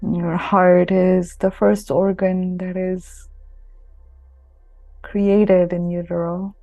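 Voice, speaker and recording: Slow, mellow woman guiding meditation. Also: fairly low pitch, flat delivery, vocal fry, no echo. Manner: soothing, unhurried, with long pauses. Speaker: yoga nidra guide. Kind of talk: guided meditation. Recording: close dry voice over soft music. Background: soft music.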